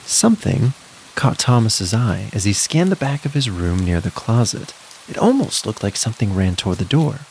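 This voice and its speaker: A man's voice: fairly low pitch, very animated, moderate pace, a little mellow, slight background noise, low-quality recording. A man narrating a scripted children's story in a dramatic, suspenseful manner.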